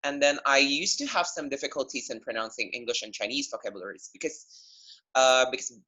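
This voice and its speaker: A man's voice: medium pitch, a little fast, sharp tone. A young man in his twenties with an Indonesian accent gives an earnest webinar presentation, often restarting his sentences and saying "you know". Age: 20s. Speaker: webinar speaker. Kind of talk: presentation over a video call. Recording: dull lo-fi call audio.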